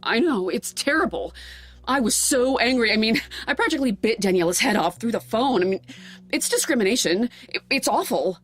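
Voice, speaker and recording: A woman's voice: on the high side, expressive, a little fast, husky. An American actress playing an anxious, protective mother in an audio drama, speaking fretfully and emphatically in a naturalistic style. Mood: indignant. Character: anxious, protective, indignant mother of a teenage daughter.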